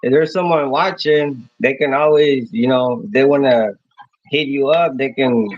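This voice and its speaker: A man in his thirties from Mexico chats with viewers on a livestream. He talks in a halting, rambling way and keeps restarting his phrases. The sound is dry and close, with dull, muffled audio. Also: medium pitch, dry recording. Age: 30s. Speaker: livestream host. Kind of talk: casual livestream chat answering viewers.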